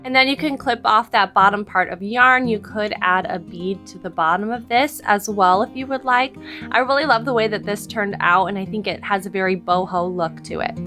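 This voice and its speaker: A woman in her thirties, a little hoarse from losing her voice, explaining a craft project step by step in a friendly voice-over. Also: mid-range voice, pushed and bright. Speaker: crafting channel host. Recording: voice-over with background music.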